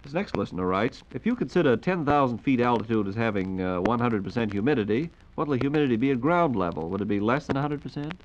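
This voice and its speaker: A man's voice: mid-range voice, lively intonation, quick pace, hardly any pauses, lo-fi sound. A man hosting a 1940s radio program, speaking formally and courteously as an announcer. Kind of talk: 1940s radio announcing, reading listener questions. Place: radio studio. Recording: noisy 1940s radio recording.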